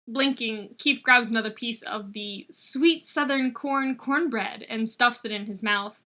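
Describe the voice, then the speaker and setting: A woman reading a story aloud as an expressive narrator of scripted fiction. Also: fairly high pitch, a little slow. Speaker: podfic reader.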